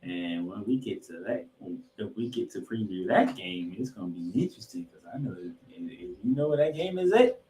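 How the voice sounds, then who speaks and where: A man's voice: on the low side, expressive, moderate pace, hardly any pauses, crowd noise. A man hosting a sports podcast, giving emphatic, opinionated commentary and game recaps. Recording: roomy, echoing voice pickup.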